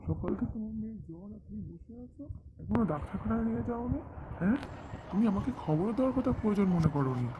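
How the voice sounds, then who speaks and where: Man voicing a fast-talking protective cartoon father. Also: high-pitched, expressive, dull audio. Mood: indignant, scolding. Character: doting father of a village girl, quick to scold his wife. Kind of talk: amateur cartoon dubbing. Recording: noisy amateur home recording.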